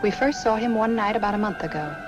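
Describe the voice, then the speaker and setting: A woman's voice: mid-range voice, fast talker, over music, close to an everyday voice. A woman acting as an anxious, earnest dental nurse in a 1950s film noir, speaking plainly and defensively. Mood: earnest, recalling. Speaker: actress in a film. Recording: noisy old film soundtrack.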